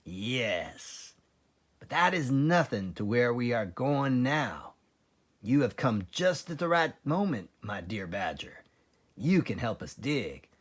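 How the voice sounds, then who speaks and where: A man's voice: mid-range voice, big pitch swings, slow. A man voicing a sly, confident father fox in a storybook, fond and boastful, with an assured, coaxing, theatrical manner. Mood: conspiratorial.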